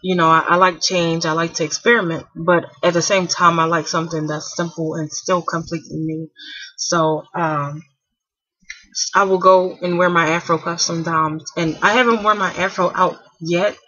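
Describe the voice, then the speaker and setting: A young woman in her twenties talks to camera from home in a bright, slightly husky voice. She rambles loosely, full of fillers and restarts, and keeps things quiet so a sleeping child won't wake. The dry, dull sound of old webcam audio frames it all. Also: fairly low pitch, steady tone, normal speed, dry recording. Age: 20s. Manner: speaking softly to keep a napping child asleep. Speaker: hair vlogger. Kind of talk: casual chat to camera.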